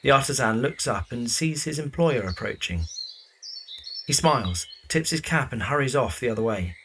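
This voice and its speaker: A man narrates an audio drama from a script. His voice is medium in pitch, with faint noise behind it. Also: animated, moderate pace.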